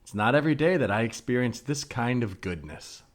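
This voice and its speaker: A man's voice: mid-range voice, expressive, fairly quick. A man co-hosting a comedy podcast, chatting casually and reading out ads.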